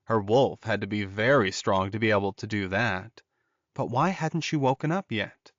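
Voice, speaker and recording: A man's voice: fairly low pitch, normal speed, fairly bright tone, no echo. Man narrating an audiobook, dry, slightly dull sound. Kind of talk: scripted dramatic story narration.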